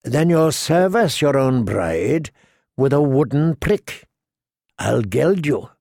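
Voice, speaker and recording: A man's voice: medium pitch, big pitch swings, slow pace, gravelly, a little mellow, no echo, full character voice. A man voicing a witty, bitter adult dwarf lord who speaks in a dry, mocking way. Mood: menacing.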